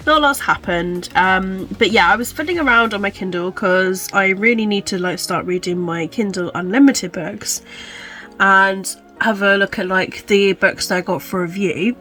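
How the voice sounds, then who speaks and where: A woman's voice: medium pitch, creaky, a bit bright. A young woman chatting casually about her week and her reading, stuffy and congested with a chesty cough, full of "kind of, like" fillers. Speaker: book vlogger. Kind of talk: casual chat to camera.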